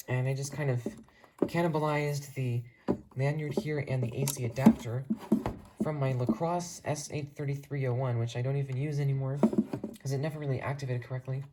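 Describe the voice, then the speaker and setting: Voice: mid-range voice, heavy background noise. A person with an earnest, rambling, enthusiastic way of talking, giving a casual tour of a hobby collection. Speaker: hobbyist video creator showing a radio collection.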